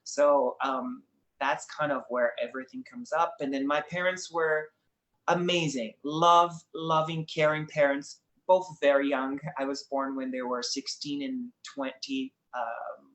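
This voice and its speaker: A young man from Brazil with a Brazilian accent speaks as a guest in a relaxed conversation. He is earnest and reflective and laughs easily, his voice sitting at a moderate pitch. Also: measured pace, roomy sound, low-quality recording. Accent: Brazilian Portuguese. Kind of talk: casual conversation.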